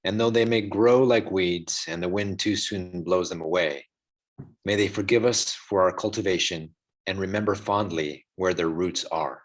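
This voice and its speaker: A man's voice: low voice, normal speed. A man reading a poem aloud over a video call. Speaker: theatre company guest. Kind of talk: poetry reading.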